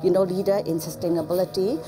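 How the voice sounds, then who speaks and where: A woman's voice: on the low side, fairly even intonation, quick pace, over music, poor audio. A woman executive speaking in an interview, earnest and businesslike. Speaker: chief sustainability officer.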